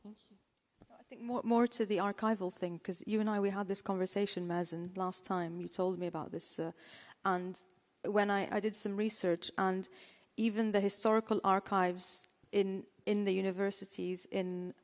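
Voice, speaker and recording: A woman's voice: medium pitch, fairly even intonation, normal speed. A woman moderating a panel discussion, hesitant and often restarting her phrases. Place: bookshop event room. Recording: long hall reverb.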